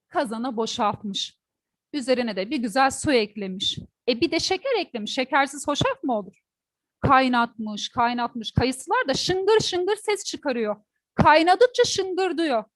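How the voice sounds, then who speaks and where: Lady storyteller, really high voice, expressive folk tale telling, talks to the listeners, dry sound. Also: a little slow, no echo. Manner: expressive, asks the listeners questions. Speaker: teacher.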